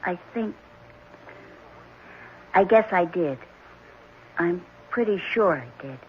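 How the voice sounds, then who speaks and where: An actress playing a guarded, defensive ten-year-old orphan boy in a 1970s radio drama, halting and childlike. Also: fairly low pitch, expressive. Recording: muffled, noisy old radio broadcast.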